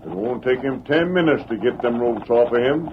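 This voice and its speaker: A man playing a loyal, admiring outlaw sidekick in an old radio Western, folksy and flattering, with an American Western accent. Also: mid-range voice, normal speed, natural voice. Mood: curious. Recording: muffled old radio broadcast, faint hiss.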